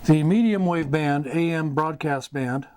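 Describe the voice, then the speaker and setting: A middle-aged man giving a casual tutorial as host, speaking haltingly in short phrases with pauses. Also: a little high, darkish tone. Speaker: host of a hobby radio tutorial. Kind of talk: casual whiteboard explainer. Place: small wood-paneled indoor room. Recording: clear background noise, room ambience.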